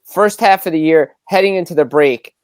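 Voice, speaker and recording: A man's voice: fairly high pitch, moderate pace, darkish tone, smooth, dry recording. Man in casual sports talk, dry sound. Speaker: podcast co-host.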